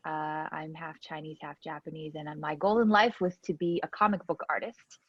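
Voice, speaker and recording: A woman's voice: sits low, steady tone, normal speed, slightly rough. A woman from Hong Kong on a panel, talking casually about her background with many fillers. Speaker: venture investor panelist. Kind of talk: panel talk, personal background. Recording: dull lo-fi sound.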